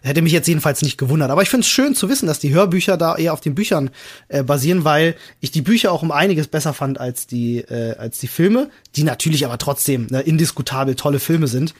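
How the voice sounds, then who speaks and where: A man's voice: fairly high pitch, expressive, fast talker, slightly rough. A man hosting a podcast in casual conversation, explaining things in a rambling, wordy way. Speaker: podcast host.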